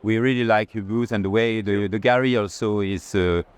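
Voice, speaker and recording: A man giving a live curator's tour at an art fair, speaking haltingly and restarting phrases mid-thought. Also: on the low side, steady tone, smooth. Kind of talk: live walking tour conversation.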